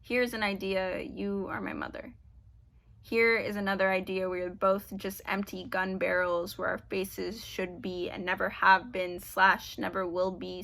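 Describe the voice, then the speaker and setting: A woman performing her spoken word poem in a deliberate, rhythmic delivery over a video call from home. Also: mid-range voice, gravelly, pushed and bright. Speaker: poet.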